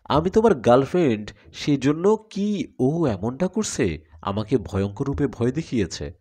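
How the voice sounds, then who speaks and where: A man's voice: mid-range voice, very animated, moderate pace, mellow, breathy edge. A man voicing an anxious, caring young college woman in a story, full of concerned questions. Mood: worried.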